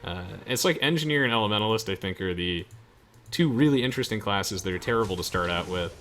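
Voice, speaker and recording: A man's voice: on the low side, animated, quick pace, a bit bright. A young man giving casual video game commentary to friends as the host of a playthrough. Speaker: let's play host. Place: game voice chat with music behind.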